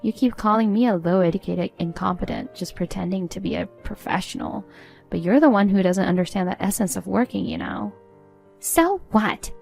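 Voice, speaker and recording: A woman's voice: medium pitch, moderate pace, creaky, darkish tone, faint music behind, natural voice. A woman voicing a haughty, meddling middle-aged mother-in-law in a story, agitated and indignant. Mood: scornful.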